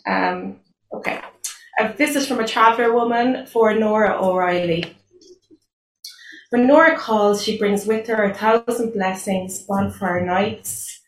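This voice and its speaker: A woman's voice: medium pitch, a little slow. A woman with an Irish accent, a native Irish speaker, giving a live poetry reading with hesitant spoken introductions. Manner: hesitant, many fillers between readings. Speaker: poet. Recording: roomy distant live recording, faint noise.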